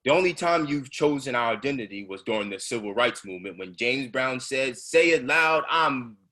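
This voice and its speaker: Man acting a fervent streetwise activist, husky voice. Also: mid-range voice, animated, moderate pace, pushed and bright. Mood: accusing, fervent. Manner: oratorical, impassioned, emphatic. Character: fervent streetwise male activist preaching to subway riders. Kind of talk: readers theatre stage monologue.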